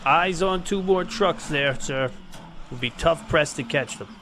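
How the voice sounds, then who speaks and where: A man playing a keen, dutiful squad soldier in an audio drama, brisk and military in delivery. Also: on the high side. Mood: alert. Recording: loud gunfire and vehicle noise behind.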